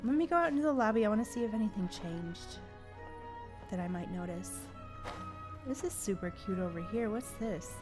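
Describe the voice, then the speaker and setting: A woman's voice: medium pitch, expressive, normal speed. A woman livestreamer giving casual, chatty gameplay commentary and reacting aloud to the game. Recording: game music behind.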